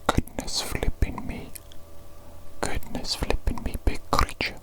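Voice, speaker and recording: A woman's voice: slow, close to an everyday voice. A woman whispering an ASMR roleplay as a pompous, condescending evil doctor, close to the microphone. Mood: boastful. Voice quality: whispering throughout. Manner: haughty, self-important, easily exasperated.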